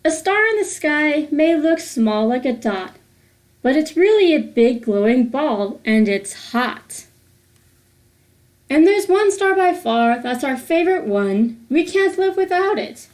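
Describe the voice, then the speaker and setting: A woman with a high-pitched voice reads a children's picture book aloud. She takes her time, with a slow, sing-song delivery that sets out each rhyming phrase deliberately. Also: sing-song, darkish tone. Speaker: host of a children's read-aloud series.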